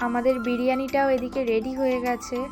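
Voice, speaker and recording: A woman's voice: high-pitched, flat delivery, quick pace. A woman narrating her everyday life in a casual vlog style, with music behind her. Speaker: vlogger narrating her day. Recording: music under the voice. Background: background music.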